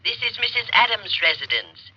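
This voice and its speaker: A formal, guarded lady answering the household telephone in a vintage radio play. Her voice is very sharp and rough-edged, with polite, grave precision. The sound is old and lo-fi. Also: a little high, very animated, moderate pace, full character voice. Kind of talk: 1940s radio drama acting.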